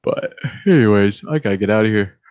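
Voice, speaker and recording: A man with a deep voice who streams about fish keeping, chatting casually late at night and thinking aloud with many restarts. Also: lively intonation, brisk, narrow, tinny audio.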